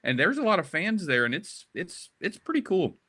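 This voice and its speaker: A man's voice: sits high, sing-song, normal speed, pushed and bright. An American man talking casually as an interview guest. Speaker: interview guest, documentary director.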